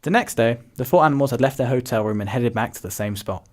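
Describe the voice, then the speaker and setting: A man's voice: mid-range voice, fast. A man reading scripted narration for a story, as its narrator.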